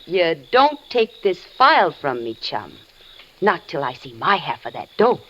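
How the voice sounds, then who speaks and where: Sly, threatening woman captor, lively voice, muffled vintage radio sound. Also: deep voice, a little slow, background noise. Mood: taunting. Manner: taunting, sly, coldly threatening. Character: tough, greedy, menacing woman captor. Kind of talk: 1940s radio acting. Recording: dull old radio broadcast.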